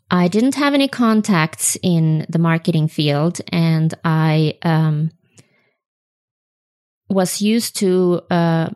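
A woman's voice: mid-range voice, darkish tone. A woman in her forties with a Swedish accent, hosting an unedited solo podcast and talking with frequent ums and self-corrections. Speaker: podcast host.

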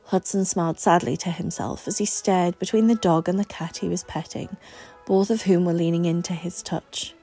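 Woman narrating a story, mellow voice. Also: fairly low pitch, fairly even intonation, normal speed, husky, faint music behind. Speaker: volunteer story reader. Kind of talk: expressive scripted audiobook narration.

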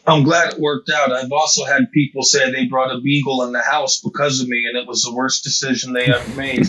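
Husky older man, wry and self-deprecating. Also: mid-range voice, level tone, brisk, sharp tone, rough recording. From United States. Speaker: pastor and author, guest. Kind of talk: casual interview chat.

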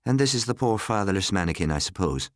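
A man's voice: deep voice, expressive, fast, no echo, studio quality. A man voicing a cheerful, condescending woman who fusses over a small child, in a storybook reading. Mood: cheerful, pitying.

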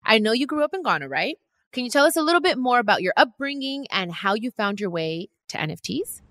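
A woman's voice: fairly high pitch, very animated, a little fast, fairly bright tone, no echo, clean studio sound. A woman hosting a podcast, chatting with a guest in a bubbly, enthusiastic way and gushing with praise. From Mexico. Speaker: podcast host. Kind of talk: casual interview chat.

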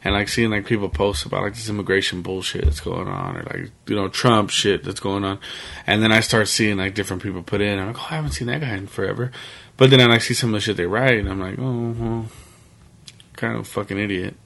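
A man's voice: lowish voice, fast talker, gravelly, fairly bright tone. A man in his late twenties, a podcast guest, talking casually and rambling, with lots of fillers and swearing. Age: 20s.

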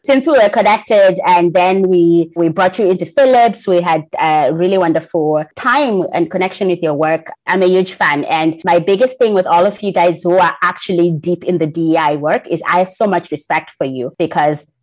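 A woman, a podcast guest talking in a lively way, often laughing and saying "like" and "right?". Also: lowish voice, moderate pace, few pauses, poor audio. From Zimbabwe. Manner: animated storyteller, laughs often, frequent "like" and "right?". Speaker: guest, employee group founder. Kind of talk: casual podcast interview.

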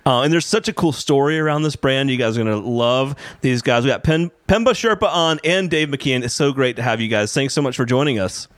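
A man's voice: mid-range voice, a little fast, few pauses, no room sound, studio quality. Enthusiastic male podcast host. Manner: enthusiastic, gushing, stammers on restarts. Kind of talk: upbeat podcast hosting.

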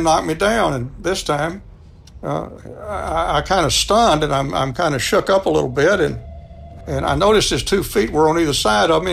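Creaky-voiced Texan man telling a story. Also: fairly high pitch, fairly bright tone, slight background noise. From Texas, United States. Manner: folksy, acts out dialogue. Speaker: storyteller, radio show contributor. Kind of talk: personal anecdote storytelling.

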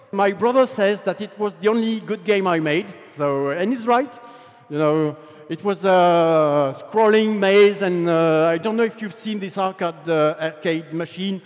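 A man's voice: high voice, in a large hall. A man with a French accent, a game developer giving a chatty conference talk about his career, full of fillers. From France. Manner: relaxed, chatty storytelling with many fillers. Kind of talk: conference presentation.

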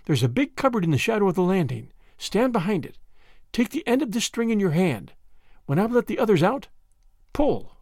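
Man voicing a high, urgent girl. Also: big pitch swings, moderate pace, no room sound, studio quality, exaggerated voice. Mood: secretive, brisk. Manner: hushed urgency, rising to theatrical French exclamations. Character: quick-witted young French girl, urgent and secretive.

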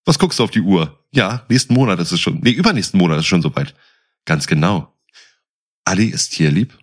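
A man's voice: low voice, expressive, brisk, husky. A man in his thirties co-hosting a podcast with casual, teasing, jokey banter. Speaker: podcast co-host.

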